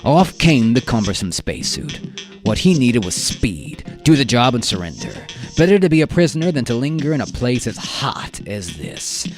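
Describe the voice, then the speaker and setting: A man narrating a science fiction radio drama in a deliberate, theatrical way with dramatic pauses. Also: mid-range voice, big pitch swings. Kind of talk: dramatic scripted story narration. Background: music with guitar.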